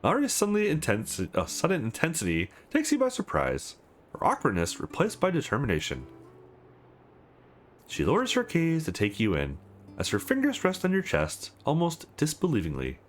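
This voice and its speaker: A man's voice: fairly high pitch, huge pitch swings, moderate pace, a little hiss or hum. A man hosting a let's play, reading visual novel narration aloud in a performed manner. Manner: acts out dialogue, stumbles over read text.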